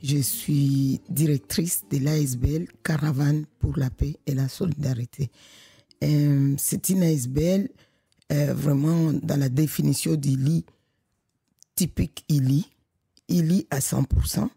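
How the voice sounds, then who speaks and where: A middle-aged woman from Congo, director of a local association, speaking in an animated, emphatic way with frequent restarts. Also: low-pitched, unhurried, creaky, breathy edge, dry recording, studio quality. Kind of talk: conversational podcast interview.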